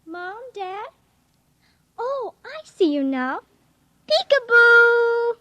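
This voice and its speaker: A woman's voice: very high-pitched, big pitch swings, very slow, very noisy, heavily acted. A woman playing a secretive, defiant little girl in a 1950s radio drama, childlike and matter-of-fact. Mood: sweetly menacing.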